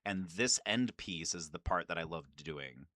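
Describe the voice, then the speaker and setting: A man hosting a casual, rambling fan video in an excitable, self-deprecating way. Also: low-pitched, unhurried, a little hiss or hum. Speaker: amateur voice-acting enthusiast, video host.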